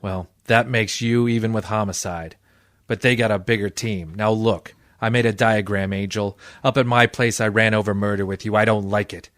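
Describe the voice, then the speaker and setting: An actor playing a world-weary, cynical private detective in old-time radio noir style, deadpan and wisecracking. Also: male voice, fairly low pitch, moderate pace, dry recording, studio quality, natural voice. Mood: cynical, hard. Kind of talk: 1940s noir radio detective acting with first-person narration.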